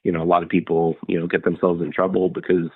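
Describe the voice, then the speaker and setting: A man giving casual solo commentary on tech as a podcast host, talking in a halting, rambling way. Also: low-pitched, little pitch movement, husky, no echo. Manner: halting, hedging, rambling delivery. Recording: narrow phone-like sound.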